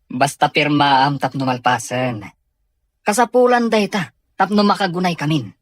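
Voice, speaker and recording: An actor with an Ilocano accent playing a pushy, scheming relative in a Filipino radio drama, slightly husky. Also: mid-range voice, animated, normal speed, dry recording, lightly acted. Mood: pushy, impatient.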